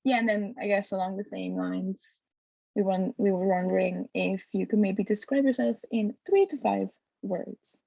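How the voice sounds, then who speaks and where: A woman's voice: medium pitch, moderate pace, gravelly, dark tone, dry recording, muffled recording. A woman interviewing a guest, asking friendly but hesitant questions with frequent fillers.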